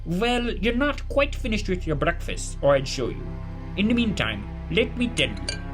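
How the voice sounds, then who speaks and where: A man acts out a kindly, scholarly gentleman in an audio drama. His voice sits a little high and swings expressively as he explains things in formal, wordy sentences. Music plays clearly behind him. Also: big pitch swings, normal speed. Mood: earnest, explanatory. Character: kindly, learned, verbose gentleman leading a group of children. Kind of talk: audio drama dialogue and narration.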